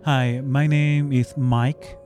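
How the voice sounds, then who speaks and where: Slow-spoken man. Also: mid-range voice, flat delivery, a little mellow, soft background music. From South Korea. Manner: slow, careful speech. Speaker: ministry worker.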